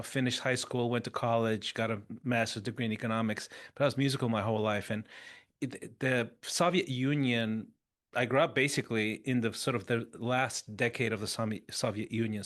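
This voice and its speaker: A man in his fifties, a podcast guest, telling his story in an animated, conversational way and restarting phrases as he thinks. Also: medium pitch, moderate pace. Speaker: interview guest.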